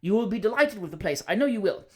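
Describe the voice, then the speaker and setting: A reader voicing Mister Button, a loud, overfamiliar middle-aged man who booms and repeats himself in a storybook reading. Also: animated, quick pace, natural voice. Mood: boisterous. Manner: booming and repetitive.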